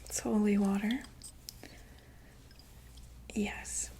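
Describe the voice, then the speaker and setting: A woman whispering an ASMR medical roleplay as a doctor, gently reassuring and checking in with the listener. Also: medium pitch, fairly even intonation, very slow, gravelly, noisy background.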